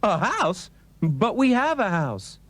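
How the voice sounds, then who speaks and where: A performer playing a meek, hesitant fisherman in a musical children's story, puzzled and deliberate. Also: mid-range voice, sing-song, dry recording.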